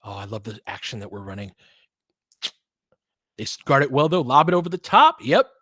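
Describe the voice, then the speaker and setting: A man's voice: mid-range voice, big pitch swings, fairly quick, a bit bright, no echo, studio quality. Man doing casual, partisan basketball play-by-play. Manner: informal, partisan, reacts openly to plays. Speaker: play-by-play commentator and host. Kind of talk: casual fan-style live basketball commentary.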